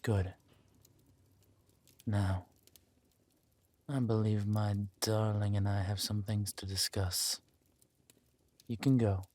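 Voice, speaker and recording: A man playing a ruthless, vengeful warlord in an audio roleplay, speaking deliberately and with menace. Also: fairly low pitch, flat delivery, dark tone, dry recording. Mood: cold menace. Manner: slow, deliberate, menacing delivery. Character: ruthless villain warlord lord, vengeful, possessive lover. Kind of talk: dramatic villain roleplay acting.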